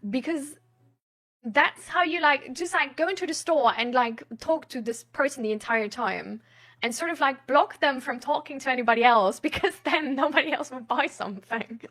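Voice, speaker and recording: A woman's voice: high-pitched, moderate pace, fairly bright tone. A woman in casual, rambling chat, thinking aloud in an informal way.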